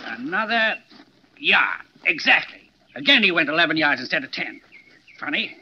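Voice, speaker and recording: An actor playing a gruff, impatient older police inspector in a 1940s radio drama, speaking in blunt, clipped phrases. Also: male voice, a little high, expressive, normal speed, sharp tone. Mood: urgent. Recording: dull, noisy 1940s radio recording.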